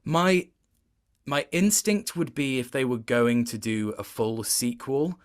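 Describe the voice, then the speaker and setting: A man with a medium-pitched voice talks casually as a guest in an interview. He thinks aloud, stopping and restarting as he shapes each point. The delivery is conversational and considered. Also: clear, resonant tone. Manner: thoughtful, halting, with many self-corrections.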